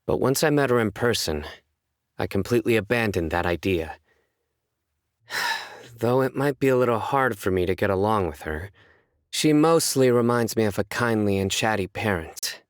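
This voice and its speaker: An actor playing a stern, composed young male judge in a video game, speaking in a measured, serious, deadpan way. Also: lowish voice, no echo, studio quality, close to an everyday voice. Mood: reflective. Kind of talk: scripted game character voice lines.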